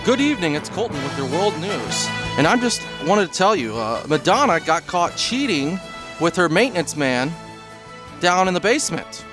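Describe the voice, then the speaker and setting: Earnest dude trying out as radio newsguy, voice kinda high, light music under. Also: male voice, big pitch swings, moderate pace, poor audio. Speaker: show staffer trying out as newsreader. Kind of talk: amateur comic newscast and short replies.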